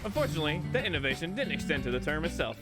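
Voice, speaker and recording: A man's voice: fairly high pitch, very fast, loud music behind the voice. A man reading a visual novel game aloud with playful drama and casual, sweary chat. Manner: animated, casual, profane.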